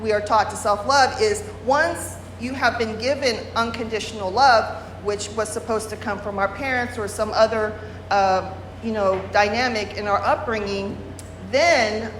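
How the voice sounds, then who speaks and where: A woman leads a workshop, explaining carefully, pausing on fillers and restarting her sentences. Her voice sits a little high. She speaks without a microphone in a large room with a long echo. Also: a bit bright, a little hiss or hum. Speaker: workshop presenter. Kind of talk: workshop lecture. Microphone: no microphone, room recording. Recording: roomy hall, unamplified voice.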